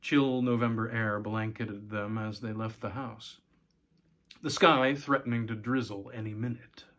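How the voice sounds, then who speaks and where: A man's voice: lowish voice, fairly even intonation, unhurried, a bit bright. A man in his forties reading a short story aloud as scripted narration. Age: 40s. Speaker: story reader, host. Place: small room at home.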